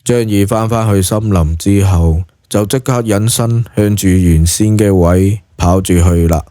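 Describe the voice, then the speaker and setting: A man's voice: on the low side, a little slow, dark tone, no room sound, clean studio sound. A man with a Cantonese accent narrating a scripted story dramatically, as in an audiobook.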